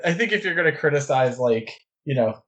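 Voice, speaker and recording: A man's voice: fairly high pitch, animated, quick pace, fairly bright tone, muffled recording. A man talking casually about films as a podcast co-host, enthusiastic and given to rambling asides. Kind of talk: casual film discussion.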